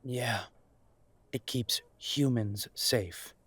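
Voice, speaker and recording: A man's voice: medium pitch, drawn-out words, a bit bright, slightly rough, dry recording, exaggerated voice. A man playing a wounded young werewolf son in an audio drama, confrontational and sardonic with his parents. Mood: angry, accusing. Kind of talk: audio roleplay drama acting.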